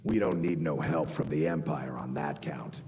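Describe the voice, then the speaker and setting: An actor playing a plain-spoken rustic Nord war veteran in a video game, with folksy grammar. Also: male voice, very deep voice, normal speed, background noise. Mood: gruff, matter-of-fact. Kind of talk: video game character dialogue. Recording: long hall reverb, music behind.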